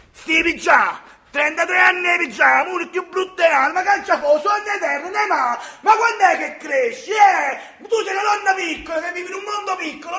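A man's voice: very high voice, creaky, bright, forceful tone, heavily acted. An actor playing a sarcastic, exasperated son in his thirties in a comic drama, with a Neapolitan accent and a theatrical, badgering delivery that repeats phrases insistently. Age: 30s. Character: exasperated, sarcastic thirty-three-year-old son living with his mother. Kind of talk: stage-style comic radio drama acting. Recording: echoey room.

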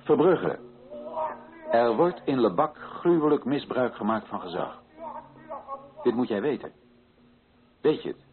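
An actor playing an earnest, righteous colonial official, speaking in formal, declamatory radio drama style with solemn rhetorical weight. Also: male voice, medium pitch, expressive, measured pace, pauses often, natural voice. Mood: stern, accusing. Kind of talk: theatrical radio drama oratory. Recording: muffled lo-fi old radio recording.

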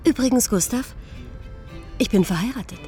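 An actress in a radio play playing a brisk, excitable married woman. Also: on the high side, soft background music, close to an everyday voice. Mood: breezy. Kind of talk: German radio play acting.

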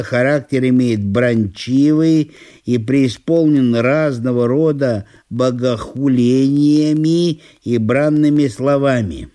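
A man's voice: medium pitch, warm tone, lightly acted. A man playing a court secretary who recites a pompous petition in a formal, officious way in a theatrical audiobook.

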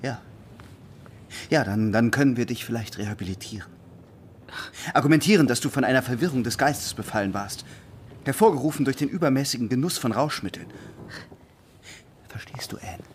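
A man's voice: mid-range voice, animated, brisk, pauses often, a little hiss or hum, lightly acted. A man acting in a German radio play as an earnest, lovesick, upright naval officer with a formal, pleading way of talking. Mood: urgent persuasion. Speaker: radio drama actor.

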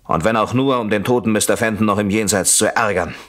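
An actor in a radio play playing a jealous, coldly sardonic husband with a mockingly polite, deliberate manner. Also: male voice, on the low side, fairly bright tone, close to an everyday voice. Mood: mocking, menacing.

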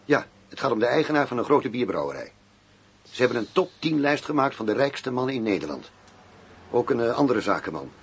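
An actor in a radio drama playing a wry, world-weary undercover agent who poses as a gangster boss. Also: male voice, mid-range voice, sing-song, a little fast, slightly rough. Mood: measured, concerned.

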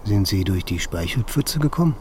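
An actor playing a weary, dreamy man slowly losing his mind, in a radio play. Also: fairly low pitch, expressive, darkish tone, slight background noise, natural voice. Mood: weary, hesitant. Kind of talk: German radio play acting, interior monologue and dialogue.

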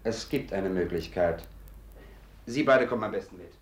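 Radio play inspector male actor: clipped, matter-of-fact authority, fairly low, roomy sound. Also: moderate pace, close to an everyday voice. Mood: calm, decisive. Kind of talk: 1950s German radio play acting. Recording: echoey 1950s radio studio recording.

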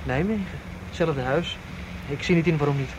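A man's voice: on the high side, moderate pace, natural voice. A man playing a tense, self-assured getaway planner in a naturalistic radio drama, with a clipped, instructing manner. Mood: tense, confident. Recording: dull, noisy radio drama recording.

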